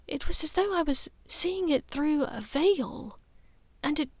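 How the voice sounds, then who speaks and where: A woman voicing an earnest, haunted witch mother, high-voiced. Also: no room sound. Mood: wistful, wondering. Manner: halting, vehement, careful. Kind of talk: audiobook character dialogue.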